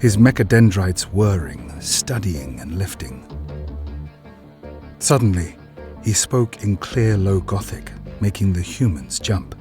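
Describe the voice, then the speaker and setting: A man narrating a scripted drama in a grave, deliberate storytelling manner. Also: low voice, sing-song, music in the background.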